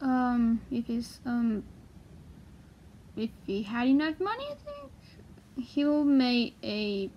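A young woman casually reads aloud and comments, halting and excitable, swearing freely. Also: fairly high pitch, dull audio, slight background noise. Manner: excitable, foul-mouthed, halting as she translates. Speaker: vlogger. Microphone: handheld phone filming the page.

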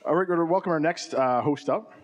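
A man emceeing a live museum event on a handheld mic, brisk and jovial, sometimes tripping over words. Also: a little high. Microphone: handheld mic at a podium.

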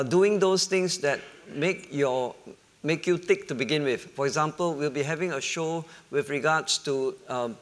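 A middle-aged man moderating a conference panel, explaining ideas in an animated way and fielding questions, on a lapel mic. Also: fairly high pitch. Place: conference hall stage.

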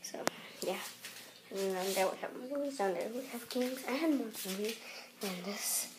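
A young girl giving a rambling, halting tour of a small apartment, filmed on a handheld phone that moves from room to room. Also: medium pitch. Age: child. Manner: rambling, halting, pointing things out. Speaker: vlogger giving a home tour. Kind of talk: casual home tour narration. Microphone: handheld phone camera, moving. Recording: noisy handheld phone recording.

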